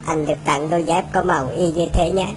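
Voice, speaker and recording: A woman's voice: sits low, steady tone, quick pace, heavy background noise. A woman acting out lines from comic-strip gags in a comedic dubbing style. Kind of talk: acted comedic dub of comic-strip dialogue. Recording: loud music under the voice. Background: music.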